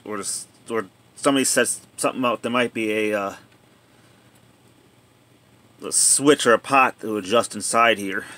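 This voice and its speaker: A man giving casual, plainspoken commentary on a handheld video, thinking aloud in a halting way as a hobbyist showing a repair. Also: mid-range voice, bright, forceful tone. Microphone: handheld phone or camera.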